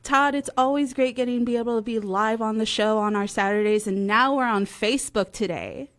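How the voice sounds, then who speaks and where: Woman, radio co-host, live chat style, slightly high pitch. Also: fairly quick.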